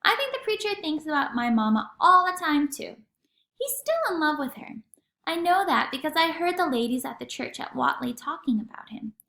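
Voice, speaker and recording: Storybook girl of ten, voiced by a woman, hopeful and earnest, high lively voice, roomy sound. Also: moderate pace, vocal fry, exaggerated voice. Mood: wistful. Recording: echoey room.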